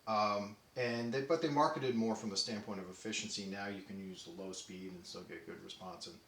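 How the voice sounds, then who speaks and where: A man casually demonstrating a tape deck, talking in a relaxed way with many ums and restarts. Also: on the low side, brisk, vocal fry, a little hiss or hum. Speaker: audio equipment reviewer. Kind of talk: casual product demo. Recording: roomy echo with faint music.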